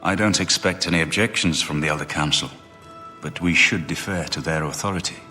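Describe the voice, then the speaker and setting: A man playing a solemn, resolute royal heir in a video game, speaking in an earnest, measured, formal way. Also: rumbling low, pushed and bright, faint music behind. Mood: grave, determined. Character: solemn, resolute male heir to an imperial throne. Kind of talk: video game dramatic dialogue.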